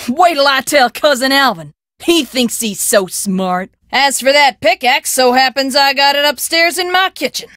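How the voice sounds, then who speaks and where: Sassy country american shop lady, really high voice, folksy and scolding, video game character, dry close sound. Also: expressive, moderate pace, a bit bright, dry recording. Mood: brash, folksy. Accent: rural American.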